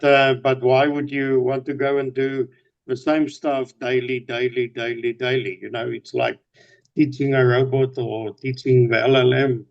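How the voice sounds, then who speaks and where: Halting man, dull lo-fi sound. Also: mid-range voice, a little mellow. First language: Afrikaans. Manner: halting, many fillers and restarts. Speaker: participant sharing ideas. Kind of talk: casual discussion.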